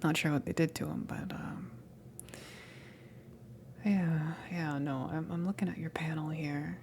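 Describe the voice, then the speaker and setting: A woman playing a friendly, awkward alien salvage worker in a whispered ASMR roleplay, rambling casually in a soft, breathy, halting way. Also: deep voice, steady tone, a little hiss or hum. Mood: casual, uneasy. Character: friendly big-headed, tailed alien salvage crew member, awkward, easygoing.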